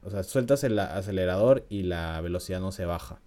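Low, mellow-voiced man telling a story. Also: a little fast. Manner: chatty, laughs often, frequent "¿no?" tags. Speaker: podcast host. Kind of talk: casual storytelling podcast.

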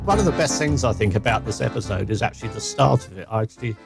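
Brief: male co-host, gravelly fry in the voice, reflective, faint music. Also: fairly low pitch, lively intonation, fast. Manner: reflective, agreeable, frequent hums. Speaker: podcast co-host. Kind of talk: casual podcast chat.

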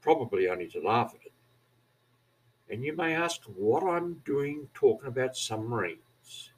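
A man's voice: medium pitch, animated, measured pace, poor audio. A man in his seventies from New Zealand with a Kiwi accent, rambling through a wry, self-mocking monologue. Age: 70s. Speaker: vlogger. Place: aged care facility.

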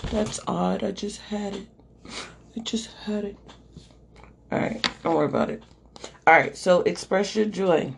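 A hoarse woman who is losing her voice hosts a podcast, reading oracle cards aloud in a chatty, slangy way and checking in with "okay?". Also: mid-range voice, measured pace, long pauses, slight background noise. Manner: chatty and slangy, swears, keeps asking "okay?" while reading card texts aloud. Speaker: podcast host and oracle card reader. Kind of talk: casual oracle card reading, live-streamed podcast.

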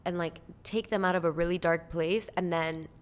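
A woman's voice: fairly low pitch, steady tone, normal speed. A woman in her twenties from Cuba, a podcast guest talking candidly and earnestly in casual chat, with many filler words. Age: 20s.

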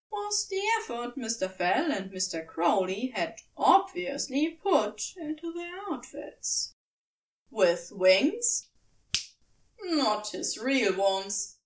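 High-voiced woman narrating a story, unhurried. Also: recorded from a distance, poor audio. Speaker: fan fiction audiobook reader.

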